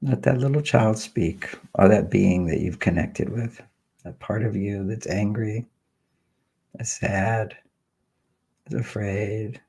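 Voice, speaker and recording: A man leading a guided healing meditation, speaking slowly and softly with long pauses. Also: lowish voice, vocal fry, husky. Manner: slow, soft, soothing delivery with long pauses.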